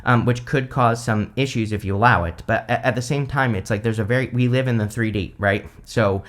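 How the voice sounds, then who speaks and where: A man with a mid-range voice talks loosely through a tarot spread. He speaks to the camera in a relaxed, conversational way. His sentences wander and restart, full of little fillers and easy laughs. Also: medium pitch, a little fast. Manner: chatty and rambling, full of "like" and "you know", with light laughs. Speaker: tarot reader, channel host. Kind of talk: casual tarot reading to camera.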